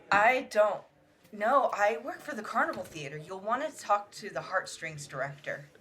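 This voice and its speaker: A woman's voice: mid-range voice, expressive, measured pace, a bit bright, slight background noise. A woman playing an imperious, easily flustered theater performer in an audio drama.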